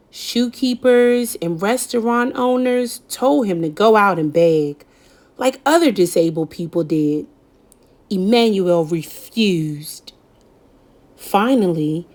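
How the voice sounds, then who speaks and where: Woman reading a kids' picture book out loud, slow and dramatic, pitch swings all over. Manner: slow, lively storytelling with dramatic pauses. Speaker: read-aloud narrator. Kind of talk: expressive picture-book read-aloud.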